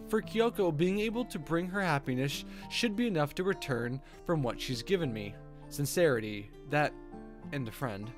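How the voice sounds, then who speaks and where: Male streamer, wry and sweary, very expressive read of visual novel narration with casual commentary, music underneath. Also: medium pitch. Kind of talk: reading game text aloud with casual asides. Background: music with guitar.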